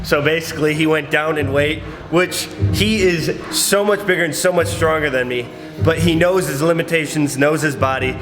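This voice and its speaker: A man's voice: fairly high pitch, moderate pace. Upbeat young man, gym vlog. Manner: loose, filler-heavy, upbeat. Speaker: fitness vlogger. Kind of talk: casual gym instruction. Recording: roomy gym with music behind.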